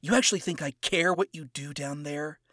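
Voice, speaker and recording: A man voicing a cold, self-absorbed seductive woman who speaks in a hushed, condescending way. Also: on the high side, dry recording, studio quality. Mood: cold scorn. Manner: hushed, breathy, condescending, slow. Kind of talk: reading fan fiction aloud.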